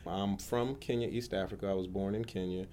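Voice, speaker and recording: Male public defender, 30s, low voice, earnest autobiographical storytelling, deliberate delivery, light background noise. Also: steady tone, warm tone. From United States, Atlanta area. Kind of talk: personal testimony, autobiographical storytelling.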